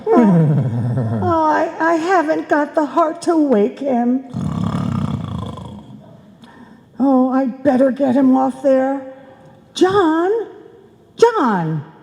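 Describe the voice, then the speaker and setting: A woman acts as a nagging, fussing wife from a radio comedy sketch. Her delivery is theatrical and scolding, unhurried and highly animated, with a soft-edged tone. It plays live on a stage in a reverberant hall. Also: heavily acted. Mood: fretful. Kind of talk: old-time radio comedy acting, performed live. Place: indoor stage before a live audience.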